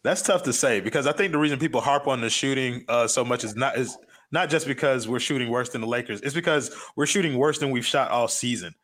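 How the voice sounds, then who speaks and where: Guy on a sports panel, medium pitch, just talking it through casually. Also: quick pace, fairly bright tone.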